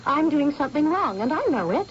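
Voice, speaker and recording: An actress playing a haunted young artist's model, speaking softly and earnestly in an old radio drama. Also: female voice, high-pitched, big pitch swings, a little fast, slight background noise. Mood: pleading. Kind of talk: 1970s radio drama acting. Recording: dull lo-fi old radio recording.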